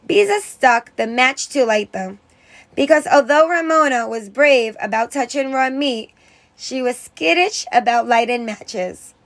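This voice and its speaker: A woman teacher reading a story aloud to children in an expressive narrating style, with dramatic emphasis. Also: high voice, a little slow. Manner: dramatic emphasis on exclamations and character lines.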